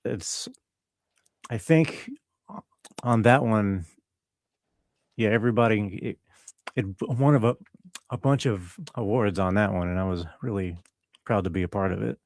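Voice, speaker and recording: A man speaking as an interview guest, explaining his work in a casual, laid-back, slightly halting way. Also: on the low side, a little mellow, no echo, clean studio sound. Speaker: interview guest, film composer.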